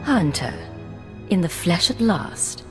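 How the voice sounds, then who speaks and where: An actress playing a composed, steely secret agent in a sci-fi game, with a controlled, terse delivery. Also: female voice, on the low side, sing-song, slow, music in the background. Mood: cool. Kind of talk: scripted sci-fi game acting.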